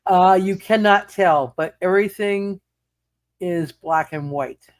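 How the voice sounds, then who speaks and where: A man speaking casually as game master, setting scenes haltingly and repeating phrases for emphasis. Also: high-pitched. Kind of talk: casual live tabletop game narration.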